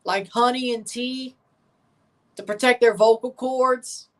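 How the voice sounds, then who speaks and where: A woman's voice: on the high side, steady tone, takes it slowly. Woman hosting a casual soccer watchalong. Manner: repeats and corrects herself. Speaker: livestream watchalong host. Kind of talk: casual live football commentary and chat with viewers.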